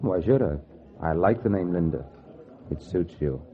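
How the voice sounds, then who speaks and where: An actor playing a cocky, money-hungry gambler in a 1940s radio noir, with clipped, wry, slangy delivery. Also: male voice, low voice, animated, normal speed, husky, full character voice. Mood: cool, flirtatious. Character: hard-boiled, cocky male gambler, broke and money-hungry. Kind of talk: 1940s radio noir acting, first-person narration. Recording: dull vintage radio recording with music. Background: music.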